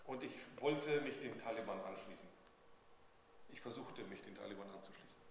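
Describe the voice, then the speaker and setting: A man's voice: fairly low pitch, normal speed, creaky. A man interpreting a sermon live, phrase by phrase, as a preacher's consecutive interpreter. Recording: noisy echoey hall with long reverb.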